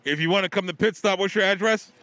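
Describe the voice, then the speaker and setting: A man's voice: high-pitched, fast, bright, forceful tone. A middle-aged man interviewing a shop owner on a sidewalk for community TV, talking into a handheld mic with playful promo patter. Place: outdoor sidewalk by shops.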